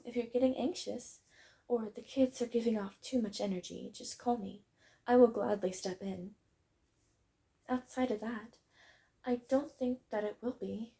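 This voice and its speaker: A woman acts out a wholesome tomboy dog girl in an audio roleplay. The voice sits fairly high, yet it is very mellow and soft-edged, with a slight creak. The delivery is gentle, chatty and reassuring, like a kindly kennel worker. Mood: warm, encouraging. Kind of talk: roleplay audio, acted one-sided conversation.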